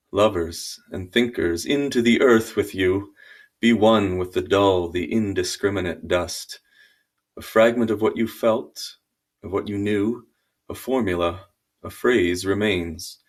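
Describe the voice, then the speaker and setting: Man, low voice, very slow pace, solemn dramatic poetry recital. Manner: solemn, deliberate.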